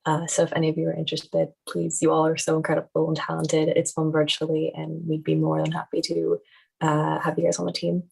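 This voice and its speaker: A woman's voice: low voice, completely flat delivery, a little fast, creaky, darkish tone, slightly rough, low-quality recording. A young woman in her teens chattily rambling through a casual introduction of herself and her creative work. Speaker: student actor and filmmaker.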